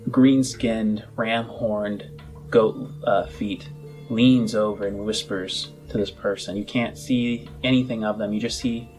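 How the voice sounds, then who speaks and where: A low-pitched man narrates a tabletop roleplaying game as its game master. He speaks very slowly and descriptively, leaving dramatic pauses. Soft music plays behind him.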